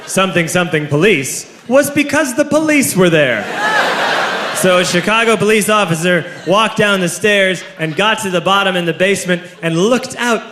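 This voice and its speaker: A man telling a story as a stand-up comedian, with an emphatic, theatrical delivery, in front of a live audience. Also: sits high. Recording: roomy live stage recording with loud audience. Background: laughing crowd, background noise.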